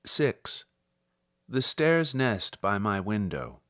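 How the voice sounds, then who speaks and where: A man reciting literary poetry in a measured, solemn manner with deliberate phrasing. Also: on the low side, big pitch swings, fairly bright tone, no echo, clean studio sound. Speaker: poetry reader.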